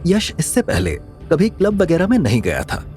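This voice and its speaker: A man narrating a scripted drama story in an expressive storytelling style. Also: on the high side, normal speed, faint music behind.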